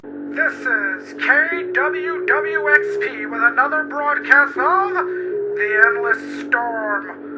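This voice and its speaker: Man as vintage radio serial announcer, measured and grandiose, music prominent, lo-fi tape. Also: very high voice. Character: solemn old-time radio serial announcer and cigarette pitchman. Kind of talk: 1950s radio drama narration.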